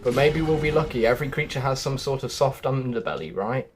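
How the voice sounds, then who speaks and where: A man's voice: mid-range voice, expressive, a little fast, breathy edge, faint music behind. A man voicing a wary young woman warrior who muses as she gives quest advice in a video game. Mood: wary, musing.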